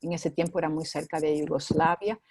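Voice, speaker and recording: A woman's voice: low-pitched, steady tone, a little fast, a little mellow, a little hiss or hum. A middle-aged woman with a Cuban accent, a guest in an interview, talking in a chatty way with frequent fillers. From Cuba. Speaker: guest in ministry. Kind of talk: conversational interview.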